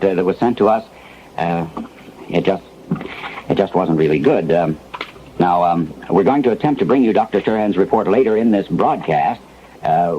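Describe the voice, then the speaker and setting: An actor playing a flustered male news anchor in a 1970s radio drama, speaking in a hesitant, halting way as if live. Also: on the low side, natural voice. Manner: hesitant, halting, improvised-sounding. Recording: dull, faintly hissy old radio tape.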